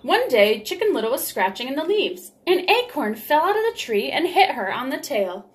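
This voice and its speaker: A woman's voice: sits high, big pitch swings, normal speed. A young adult woman reading a picture book aloud to children in a small room at home. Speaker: storybook reader. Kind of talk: children's read-aloud, scripted narration.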